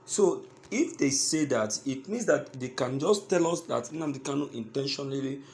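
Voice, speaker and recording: A man with a Nigerian accent giving news commentary to his listeners, speaking in a halting, emphatic way and repeating phrases. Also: medium pitch, expressive, a little mellow, a little hiss or hum.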